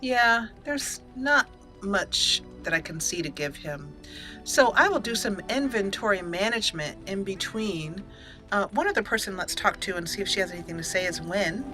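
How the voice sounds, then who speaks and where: Bright-voiced woman gamer. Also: mid-range voice, expressive, normal speed. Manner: chatty, thinking aloud. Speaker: host, gamer. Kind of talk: casual let's play commentary with roleplayed dialogue. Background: soft game music.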